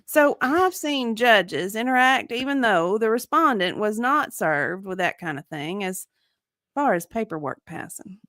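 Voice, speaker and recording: A woman's voice: mid-range voice, lively intonation, unhurried, a bit bright, no echo, clean studio sound. A woman reading the part of a guarded, evasive witness in a dramatic deposition reading, with careful, hedging answers. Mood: evasive, earnest. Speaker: dramatic reader.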